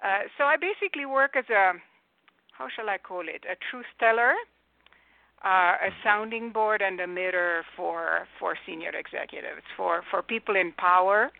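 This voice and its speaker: A Romanian-accented woman in her sixties talks as a radio guest, thoughtful and articulate, often pausing on fillers and restarting phrases. Her voice sits at a middle pitch. It comes through a dry, narrow, phone-like line. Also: gravelly, no echo. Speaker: guest, executive coach. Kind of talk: radio interview answers. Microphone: telephone.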